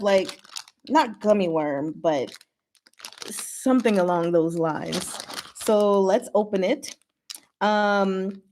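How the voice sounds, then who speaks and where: A woman's voice: mid-range voice, darkish tone. A woman hosting a casual livestream, chatting with viewers in short, giggly asides. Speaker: livestream host.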